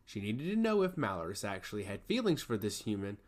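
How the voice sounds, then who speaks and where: A man's voice: fairly low pitch, very animated, fairly quick. A man narrating a scripted fantasy story in a dramatic, emphatic way.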